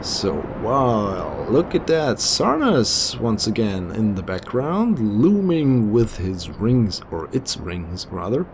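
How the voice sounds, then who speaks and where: Slow, gravelly German-accented man. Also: lowish voice, background noise. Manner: chatty, self-deprecating, lively intonation. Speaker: gameplay commentator. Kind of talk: casual gameplay commentary. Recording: voice-over with faint background music.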